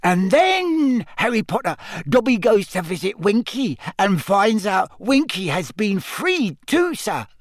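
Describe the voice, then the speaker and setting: A man voicing an eager, devoted small male house-elf in a squeaky, shrill, servile voice for an audiobook. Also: very animated, a little slow, few pauses, no echo, clean studio sound, full character voice. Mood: excited, proud. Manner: servile, speaks of himself in third person.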